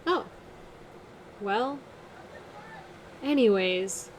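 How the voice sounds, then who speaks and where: A fragile, open-hearted woman in an audio drama. She talks very slowly and haltingly, in a natural way. There is clear ambient noise around her. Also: mid-range voice, animated. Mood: weary, emotional. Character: emotionally open, fragile woman in self-help group. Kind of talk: naturalistic audio fiction acting.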